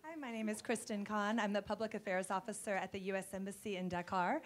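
A woman from California, a public affairs officer, introduces herself in a warm, upbeat way at a summit. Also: medium pitch, flat delivery, fast. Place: hotel conference room. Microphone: handheld microphone.

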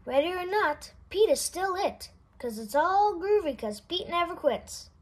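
A woman reading a children's picture book aloud in an expressive, sing-song way. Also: very high-pitched, unhurried. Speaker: storybook reader. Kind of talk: children's read-aloud narration.

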